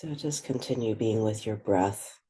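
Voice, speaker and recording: A woman leading a guided meditation, speaking gently and without hurry, leaving long pauses between phrases. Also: extremely low pitch. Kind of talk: guided meditation, slow and spoken softly.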